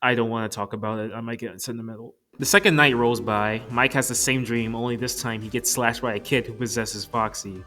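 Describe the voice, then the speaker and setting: A man's voice: mid-range voice, steady tone, fairly quick, few pauses, bright, forceful tone. A young man giving a casual, scripted movie review commentary with a joking, casually profane manner. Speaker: video reviewer. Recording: music playing behind the voice. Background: background music.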